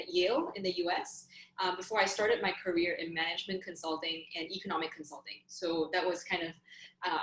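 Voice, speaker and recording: A woman's voice: lowish voice, fairly bright tone, reverberant, poor audio. A woman from Singapore, hosting a video call and introducing judges, upbeat and full of "um" fillers. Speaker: host.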